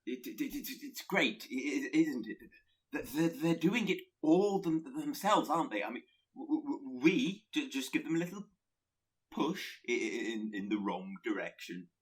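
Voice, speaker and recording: A man's voice: medium pitch, expressive, slightly rough, poor audio. Man acting a badly stuttering, nervous male pestilence spirit. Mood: gleeful. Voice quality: heavy stutter. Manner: timid, halting, rambling. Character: nervous, eager male spirit of pestilence who delights in corruption. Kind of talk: radio play acting.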